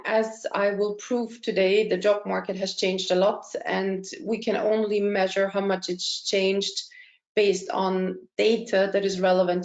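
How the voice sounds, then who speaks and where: Woman in a roomy webinar. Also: medium pitch. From Austria. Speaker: career consultant, webinar presenter. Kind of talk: webinar presentation. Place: indoor room, online call. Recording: dull, roomy online webinar audio.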